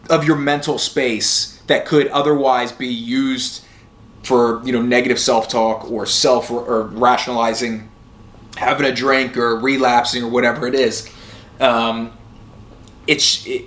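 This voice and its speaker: A man's voice: medium pitch, fairly bright tone. A man hosting a motivational vlog, talking casually in a rambling, blunt, informal way and swearing freely. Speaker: host of a sobriety motivation video series. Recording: roomy, echoey sound.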